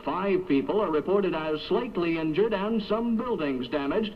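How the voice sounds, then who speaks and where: A man's voice: high voice, normal speed. A man reading a scripted 1970s radio newscast as the anchor. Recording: dull vintage AM radio aircheck.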